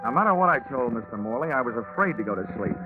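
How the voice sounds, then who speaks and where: A man playing a wisecracking, sleepy insurance investigator in a deadpan 1940s radio detective drama style. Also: mid-range voice, very fast, phone-quality sound, lightly acted. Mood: wry narration. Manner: wry, deadpan. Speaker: narrator and lead detective character. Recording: band-limited vintage 1940s radio recording, music.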